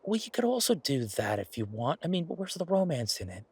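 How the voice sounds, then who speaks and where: A man playing an earnest, playful boyfriend in a naturalistic one-sided audio roleplay, talking in a halting, wry, conversational way. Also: fairly high pitch, big pitch swings, slightly rough, heavily acted. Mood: relaxed, gentle, then teasing, mock-hurt. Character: earnest, playful werewolf boyfriend pitching a farm venture.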